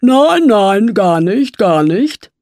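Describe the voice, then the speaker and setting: Woman doing a slow, dim-witted storybook dragon. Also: medium pitch, big pitch swings, darkish tone, no echo, clean studio sound, full character voice. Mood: evasive. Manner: simple childlike grammar with double negatives. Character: big slow-witted, childlike male dragon, clumsy and evasive. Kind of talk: audiobook character voice.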